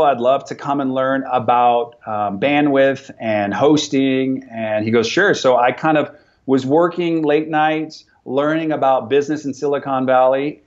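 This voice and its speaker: A man's voice: medium pitch, normal speed, hardly any pauses. Motivational businessman, lo-fi sound. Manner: energetic, motivational, repeats words when starting sentences. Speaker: business owner and podcast guest. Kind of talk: conversational interview.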